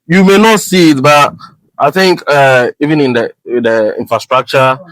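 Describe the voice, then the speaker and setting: A man from Ghana with a Ghanaian English accent, a guest describing his work as an air traffic controller in a relaxed, rambling way, full of fillers and restarts. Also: mid-range voice, normal speed, dry recording, rough recording. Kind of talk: casual podcast conversation.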